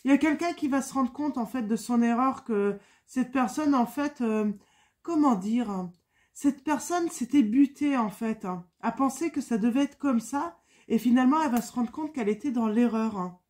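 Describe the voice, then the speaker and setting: A woman with a head cold gives a tarot reading in a loose, wandering way. She keeps stopping for fillers and says things twice, and her voice sits a bit higher than most. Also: normal speed, darkish tone. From France. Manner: hesitant, many fillers and repetitions. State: has a cold. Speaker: tarot card reader. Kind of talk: casual spoken tarot reading.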